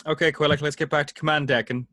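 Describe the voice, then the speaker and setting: A man playing a grave, fatherly space officer with a solemn, deliberate manner, in an amateur script read. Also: a little high, flat delivery, pushed and bright, no echo. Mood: brisk. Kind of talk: amateur screenplay table read.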